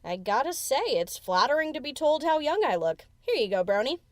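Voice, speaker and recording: A woman's voice: high voice, sing-song, a little fast, no echo, studio quality. A woman voicing a brash, teasing young female pony DJ in a fan audio story, with casual, slangy delivery. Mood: cheeky. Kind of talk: acted fan fiction audio drama.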